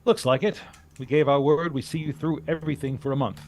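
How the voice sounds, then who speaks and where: An actor playing a cool, shrewd adventurer who leads a team of bodyguards in a vintage radio drama, decisive and wry. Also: male voice, fairly high pitch, steady tone, a little fast, darkish tone, natural voice.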